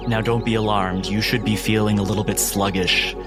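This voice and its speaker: A man playing a courteous, soft-spoken asylum doctor with a measured, clinical and quietly menacing manner in a tabletop horror game. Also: on the low side, little pitch movement, over loud music, close to an everyday voice. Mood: smooth, reassuring.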